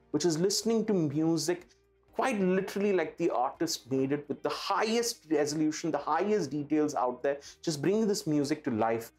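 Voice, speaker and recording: A man's voice: fairly high pitch, a little mellow. A man presenting a product for his company in an emphatic way, stressing key words by repeating them. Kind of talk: product pitch to camera. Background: background music.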